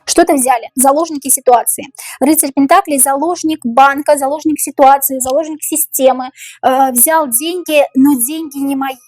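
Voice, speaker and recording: A woman with a high, tiring voice reads tarot cards aloud, slowly repeating each question and musing over what she draws. The sound is close and dry, with faint music underneath. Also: fairly even intonation, darkish tone, dry recording. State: voice tiring, going hoarse. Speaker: tarot reader. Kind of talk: tarot reading to camera, thinking aloud.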